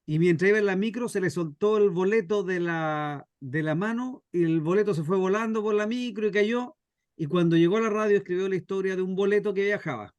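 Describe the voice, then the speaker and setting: Dry-sounding Chilean man, slightly high voice. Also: fairly quick, a little mellow, no echo, dull audio. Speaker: scriptwriter, guest instructor. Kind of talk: informal teaching through anecdotes.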